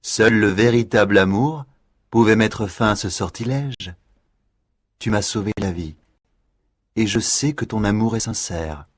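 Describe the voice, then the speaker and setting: Woman doing a brave young prince from a storybook, low slow solemn voice. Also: no echo, clean studio sound. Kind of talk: storybook reading.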